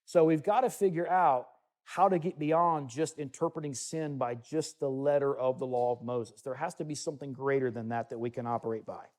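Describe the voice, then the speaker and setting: Man, Bible teacher, conversational sermon with asides, dark mellow timbre. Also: medium pitch, a little fast. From United States. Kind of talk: teaching sermon with scripture reading. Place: meeting room with listeners.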